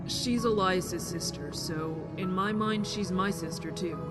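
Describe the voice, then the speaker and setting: Somber young survivor played by a woman, lowish voice, unhurried and subdued over music. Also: natural voice. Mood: reserved. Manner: subdued, hesitant. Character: reflective, somber young survivor confiding her past. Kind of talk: amateur sci-fi drama acting.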